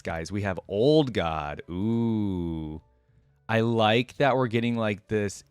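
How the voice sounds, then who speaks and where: A man's voice: fairly low pitch, smooth, no room sound. A man hosting a reaction video with casual, informal commentary, thinking aloud as he goes.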